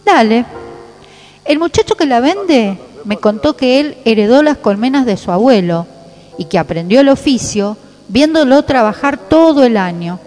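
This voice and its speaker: A woman's voice: a little high, expressive, normal speed, darkish tone, long reverb tail, soft background music, natural voice, rough recording. A woman playing a chatty, intrigued breakfast companion with an Argentine accent in a conversational radio drama.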